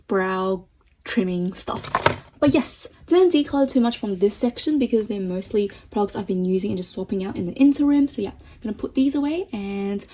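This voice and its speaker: A woman vlogger chatting casually through a makeup review, enthusiastic and full of fillers, her voice getting hoarse. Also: mid-range voice, animated, moderate pace, a little mellow, a little hiss or hum, rough recording. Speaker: beauty vlogger.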